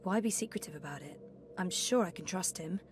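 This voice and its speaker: A woman's voice: lowish voice, a little fast, music in the background, natural voice. An actress playing a guarded, curious, level-headed young woman adventurer in a video game, speaking thoughtfully and with understatement. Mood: guarded, pondering. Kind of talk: video game dialogue acting.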